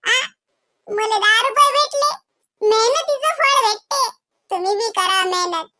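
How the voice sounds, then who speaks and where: A very high, sharp-edged cartoon schoolboy voice, with a Varhadi Marathi accent. He is a cheeky little schemer, theatrical and mock-earnest, stretching his words for comic effect. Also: expressive, no echo, exaggerated voice. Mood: smug, mock-preachy. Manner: theatrical, exaggerated, drawn-out words. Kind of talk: comedy cartoon monologue and dialogue.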